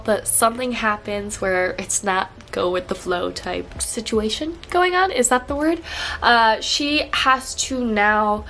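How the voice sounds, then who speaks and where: Young woman with a stuffed up nose gushing about books, chatty and excited. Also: mid-range voice, slight background noise. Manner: upbeat, gushing, frequent fillers. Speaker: book vlogger. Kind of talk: casual chatty book review.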